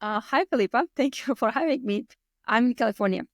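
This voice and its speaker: A woman's voice: fairly high pitch, expressive, a little fast, dry recording. Latvian-accented woman in her thirties, dry sound. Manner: non-native English with loose grammar and word order. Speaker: podcast guest. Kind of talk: casual personal storytelling in interview.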